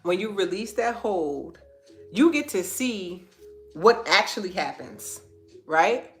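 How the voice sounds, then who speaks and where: A woman's voice: mid-range voice, lively intonation, slow, faint music behind. A woman hosting an inspirational faith-based livestream, earnest and repeating phrases for emphasis, her nose stuffy and congested. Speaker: host of a live online talk.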